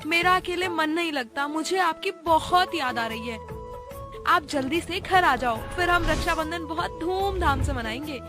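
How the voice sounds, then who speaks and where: A sisterly young woman acted out by a woman in a cartoon story, with an extremely high voice. She is earnest, emotional and sweetly dramatic, and music plays clearly behind her. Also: measured pace. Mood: affectionate longing. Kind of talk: Hindi animated story dialogue.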